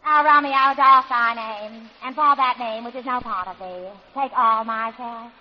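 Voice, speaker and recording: A high-voiced, pompous Brooklyn would-be tragedienne, declaiming with grand theatrical airs that keep slipping into brash slang. It sounds like a muffled old radio comedy broadcast. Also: female voice, measured pace. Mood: melodramatic. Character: pretentious would-be grand actress playing Juliet, brash underneath. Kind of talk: 1940s radio comedy acting.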